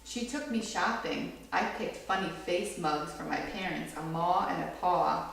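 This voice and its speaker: A low-pitched-to-average woman's voice is not needed here; give a woman reading a children's story aloud expressively, with some creak in her voice. She is recorded from well across a very echoey room, with faint noise behind her. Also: measured pace, a bit bright, distant mic. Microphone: far from the reader.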